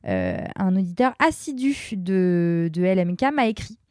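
A woman's voice: on the low side, animated, unhurried, darkish tone, dry recording, studio quality. A woman co-hosting a chatty podcast, playful and hesitant, stopping on fillers between jokes. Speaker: podcast co-host. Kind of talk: casual chat, reading listener messages.

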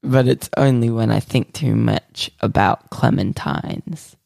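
A man with a gravelly, creaky voice drawls through silly made-up tales at an unhurried crawl. His delivery is deadpan and full of ums and restarts. The sound is dry and close to the mic. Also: medium pitch, no echo. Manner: slow, rambling, deadpan nonsense with many fillers. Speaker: podcast co-host. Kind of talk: absurdist improvised banter.

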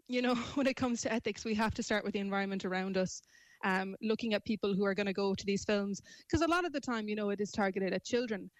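A woman's voice: mid-range voice, dry recording, low-quality recording. A woman speaking as a panel guest, articulate and reflective, often framing her points as questions. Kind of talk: discussion, opinion.

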